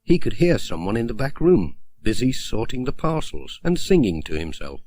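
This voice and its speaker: A man's voice: medium pitch, very animated, moderate pace, dark tone, no echo. A man narrating a children's story from a script, as an audiobook reader.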